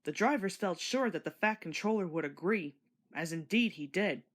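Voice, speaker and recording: A woman narrating a scripted children's story in an expressive storytelling manner. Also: on the low side, normal speed.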